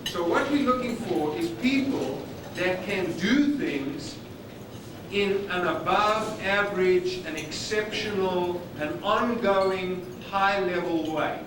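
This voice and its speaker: A man's voice: high voice, takes it slowly, noisy background. A man with a South African accent giving a conference presentation, stressing key words emphatically, recorded from afar in a large hall. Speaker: conference speaker. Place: conference hall with dining noise. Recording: echoey hall from far off on old videotape.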